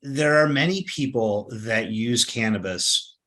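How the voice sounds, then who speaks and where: A man's voice: medium pitch, a little slow, sharp tone. A man answering as an interview guest, making his points emphatically and often ending them with "right?". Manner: emphatic, repeats words, says "right?". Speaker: company executive guest.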